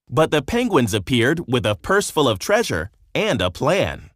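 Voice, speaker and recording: A man narrating a children's story from a script in an expressive storytelling manner. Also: mid-range voice, no echo, clean studio sound.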